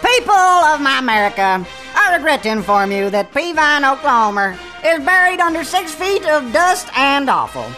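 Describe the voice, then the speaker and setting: A woman playing a bumbling, excitable male president in a satirical comedy, stammering in a folksy Southern drawl. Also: high voice, normal speed, few pauses, soft background music, heavily acted, rough recording. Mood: pompous. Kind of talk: satirical cartoon-style comedy sketch acting.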